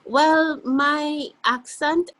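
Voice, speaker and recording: A young woman from Guyana with a mixed Guyanese and Caribbean accent, talking as a guest in a casual interview in an animated, playful way and laughing easily. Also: high voice, extremely slow.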